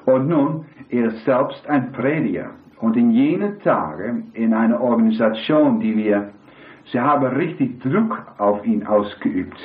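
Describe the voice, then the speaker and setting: A hoarse man preaching a sermon, rambling and putting questions to the congregation. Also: medium pitch, animated, a little slow. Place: church hall with congregation. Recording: echoey, tinny lo-fi hall recording.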